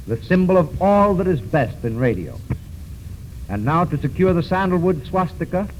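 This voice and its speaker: A man announcing formally for a 1930s radio serial and reading its sponsor's pitch in a declamatory style. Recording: muffled, faintly noisy 1930s radio recording.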